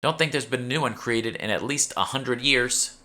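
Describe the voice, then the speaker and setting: A man's voice: mid-range voice, moderate pace, pushed and bright, voice pushed up, lightly acted. A man reading an audiobook, voicing a chatty, eager young female shopkeeper who is polite and salesy. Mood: emphatic. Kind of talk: audiobook character dialogue.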